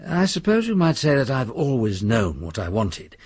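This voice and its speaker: An actor playing a cultured, self-assured wealthy recluse in a radio drama, husky and philosophising. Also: male voice, medium pitch, huge pitch swings, darkish tone. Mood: urbane, self-satisfied. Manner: urbane, measured phrasing, philosophising.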